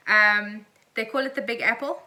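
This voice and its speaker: A woman's voice: on the high side, steady tone, moderate pace. A woman with a South African accent chatting casually and playfully as a podcast co-host. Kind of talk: casual chat. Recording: roomy echo with faint noise.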